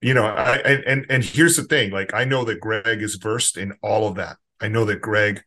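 A man's voice: lowish voice, moderate pace, pushed and bright. A man hosting a podcast, talking about faith in an earnest, meandering way. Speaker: podcast host. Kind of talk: casual faith discussion.

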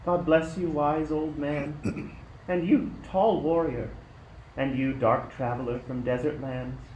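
Guy voicing a gentle earnest young mom in a bible radio play, highish, echoey. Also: steady tone. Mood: humble, wondering. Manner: earnest, measured, reverent. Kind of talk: amateur radio play acting. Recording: echoey room, faint noise.

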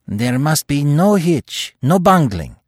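A male reader plays a grave, composed man who leads a secret band, speaking low and measured, a notch deeper than his own narrating voice. The delivery is hushed and controlled, and it is recorded close and dry. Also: big pitch swings, vocal fry, dry recording, exaggerated voice. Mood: fervent.

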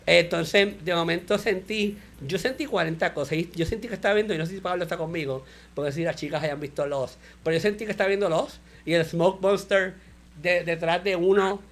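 A man, co-hosting a casual show review chat, animated and mixing in English words. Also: high-pitched, brisk. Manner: animated, mixes English words, laughs often. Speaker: podcast co-host.

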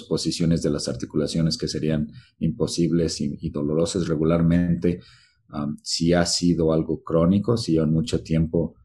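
Low, dark-voiced man, halting. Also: slight background noise. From Mexico. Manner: halting, searching for words. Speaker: researcher guest. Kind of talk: interview answers.

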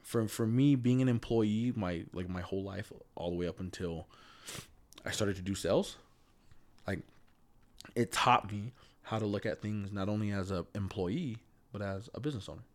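A man's voice: lowish voice, moderate pace. A man who stutters, a podcast guest talking earnestly and with emphasis in a casual conversation.

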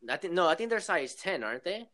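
A man's voice: high-pitched, big pitch swings, quick pace, over a noisy crowd. An eighteen-year-old man chatting casually on a call, laid-back and slangy, saying "bro" and "like" a lot. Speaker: shoe reseller, host of the call. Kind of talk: casual chat.

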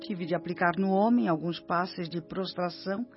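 A woman's voice: lowish voice, moderate pace, lightly acted. A woman playing a dutiful, composed nurse in a radio drama, reporting respectfully with a Brazilian accent. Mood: earnest, concerned. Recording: dull radio-drama sound, music behind.

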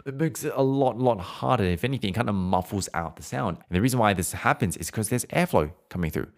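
A man teaching singing in a relaxed, conversational way, now and then humming or holding vowels to show a point. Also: on the low side, fast. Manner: conversational, demonstrates with hums and held vowels. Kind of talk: explanatory singing lesson, podcast.

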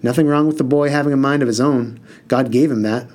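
A man's voice: medium pitch, fairly even intonation, fast, darkish tone, voice pushed up, natural voice. A man voicing a kindly middle-aged pastor who speaks in an earnest, preachy, rhythmic way in an audiobook.

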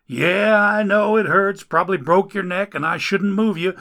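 A man voicing a griping, foul-mouthed male rescuer who grumbles loudly in folksy slang. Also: sits high, normal speed, pitched above the performer's own voice.

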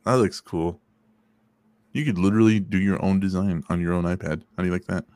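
A young man co-hosting a casual podcast, with a deep voice that has no crackle, crude and teasing and quick to laugh. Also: steady tone, a little mellow. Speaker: podcast co-host. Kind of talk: casual chat.

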